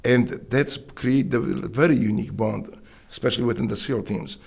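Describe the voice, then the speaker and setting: A middle-aged man with a Polish accent, earnestly telling his story in an interview, often halting and restarting his sentences. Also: mid-range voice, vocal fry, a little mellow, husky. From Poland. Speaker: interviewee, Navy SEAL veteran.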